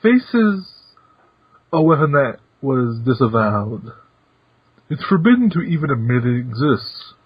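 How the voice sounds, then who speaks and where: Guy reading as a kindly old retired chairman, husky, lively up and down, muffled dry recording. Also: medium pitch, measured pace, no room sound. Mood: gentle. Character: genial retired old male council chairman, teasing.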